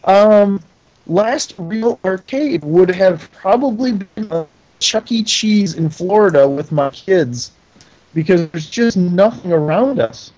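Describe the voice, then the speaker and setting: A man's voice: high voice, expressive, slow, darkish tone, highs cut off. A middle-aged American man chatting as an interview guest, plain-spoken and folksy. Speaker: podcast guest, pinball maker. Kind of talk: casual interview chat.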